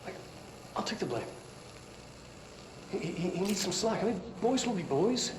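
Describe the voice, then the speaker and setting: An actor playing a stressed, defensive research scientist in a video game, talking haltingly and repeating himself in a rambling way. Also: male voice, sits high, animated. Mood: defensive, pleading. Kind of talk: video game cutscene acting, recorded monologue. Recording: echoey room, loud background noise.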